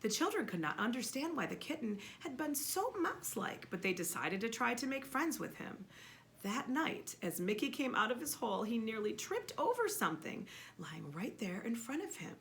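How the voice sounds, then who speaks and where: Lady doing storytime for kids, super expressive, bright voice, bit of noise behind her. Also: a little high, moderate pace, gravelly. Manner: expressive, emphatic, with repeated words for effect. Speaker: storyteller reading a children's book to a child. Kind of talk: animated read-aloud story narration with questions to a child.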